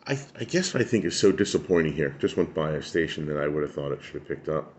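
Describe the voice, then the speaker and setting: A man's voice: rumbling low, animated, fast talker. A man giving a casual hands-on product review, rambling and thinking aloud as he goes. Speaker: radio reviewer.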